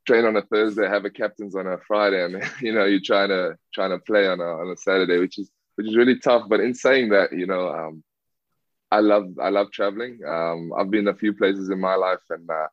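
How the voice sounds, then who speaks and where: Brief: young South African man, very low voice, hesitant rambling, dry dull lo-fi sound. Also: fairly quick, dry recording. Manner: hesitant, many fillers and restarts. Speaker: rugby player guest. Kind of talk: casual interview answers.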